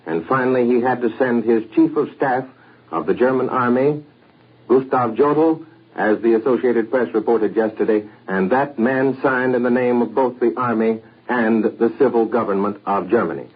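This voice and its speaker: An American man anchoring a 1940s radio news broadcast in a measured, formal way, on an old radio recording. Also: medium pitch, slightly rough, phone-quality sound.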